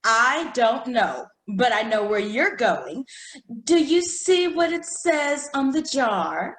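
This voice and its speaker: In a roomy echo, an actress plays a pompous department-store saleswoman in a radio comedy. Her voice is fairly high, with a showy, measured delivery. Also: very animated, fairly bright tone, exaggerated voice. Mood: exasperated. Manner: showy, deliberate pitchwoman delivery. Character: brisk, pompous saleswoman demonstrating cosmetics, easily exasperated. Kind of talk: old-time radio comedy sales patter.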